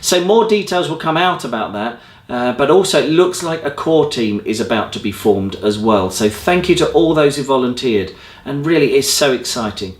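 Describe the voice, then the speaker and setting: A man's voice: medium pitch, expressive, moderate pace. A man giving informal, enthusiastic church announcements as a presenter. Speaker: church leader presenting a newsletter. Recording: roomy indoor echo.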